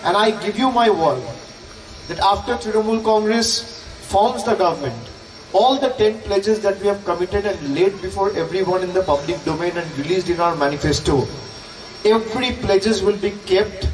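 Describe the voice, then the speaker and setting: A man's voice: high-pitched, normal speed. A man with an Indian accent giving an emphatic, repetitive political stump speech at a public rally. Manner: emphatic, repetitive, with rhetorical questions. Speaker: political campaign speaker. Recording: distant, echoey, noisy public-address sound.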